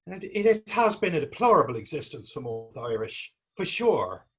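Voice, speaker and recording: A man playing a skeptical, down-and-out Irish drifter in a staged period drama reading, husky and sardonic. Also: on the high side, big pitch swings, normal speed, a bit bright, heavily acted. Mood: wry, resigned. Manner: wry, sardonic. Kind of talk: stage readers-theater dialogue in Irish period dialect.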